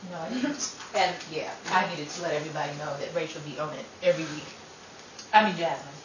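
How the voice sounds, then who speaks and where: A woman's voice: deep voice, quick pace. A woman, the show's creator, chatting and telling stories with a laugh during a casual table read in a small dining room. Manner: laughing, chatty, animated storyteller. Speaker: show creator. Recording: echoey room, from far off, hiss.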